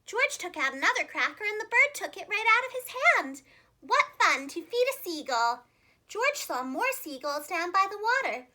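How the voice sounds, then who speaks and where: Female children's storyteller, preschool-teacher manner, extremely high register, lively intonation, clearly enunciated. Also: moderate pace. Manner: animated, expressive, carefully enunciated.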